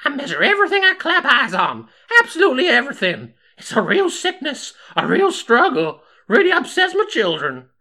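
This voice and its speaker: Here is a manic frontier undertaker woman, played by a man, shrieking high and bright in a folksy Wild West drawl. She swoops about with nutty, obsessive glee, as in a comic Western tale. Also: wildly swooping pitch, moderate pace, few pauses, heavily acted. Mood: manic, gleeful. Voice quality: shrill falsetto. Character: manic, cackling female undertaker obsessed with measuring.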